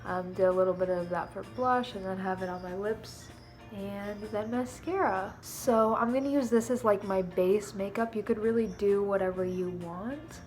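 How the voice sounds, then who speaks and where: A young woman with a congested, snuffly nose talks casually to her camera from her living room, rambling and filling pauses with "um" and "like." Her voice sits at an ordinary middle pitch. Soft music plays quietly underneath. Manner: chatty and rambling, many fillers like "um" and "like". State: stuffed-up, snuffly nose from allergies. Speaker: beauty and costume vlogger.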